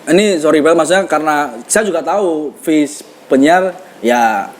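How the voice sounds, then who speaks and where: Host from Semarang, Javanese accent, higher voice, teasing, faint noise. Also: male voice, animated, normal speed. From Semarang, Central Java. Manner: teasing, laughs often. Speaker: podcast host. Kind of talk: casual podcast chat.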